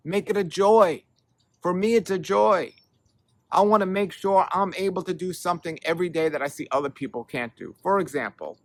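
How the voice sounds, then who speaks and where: A man's voice: on the high side, a bit bright, dry recording. A middle-aged man hosting a health show, giving an earnest motivational talk that urges and repeats for emphasis. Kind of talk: motivational monologue to camera.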